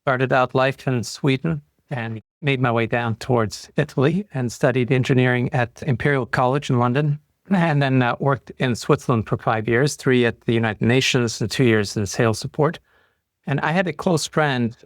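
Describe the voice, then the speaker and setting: A man's voice: medium pitch, dry recording, clean studio sound. A man from Europe, a guest on a podcast, telling his career story fluently and with enthusiasm. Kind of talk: conversational interview answers.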